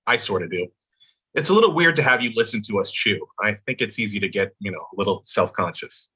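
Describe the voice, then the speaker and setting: Man as awkwardly polite friend, husky, bright forceful tone, lively pitch, table-read drama. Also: fairly quick, heavily acted. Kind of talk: live table read of a comedy-drama script.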